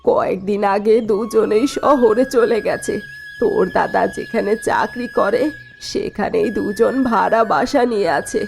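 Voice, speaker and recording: A woman's voice: mid-range voice, a little slow, vocal fry, darkish tone, soft background music. A woman voicing an elderly village mother-in-law in a cartoon, plain-spoken and resigned. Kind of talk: Bengali cartoon voice acting.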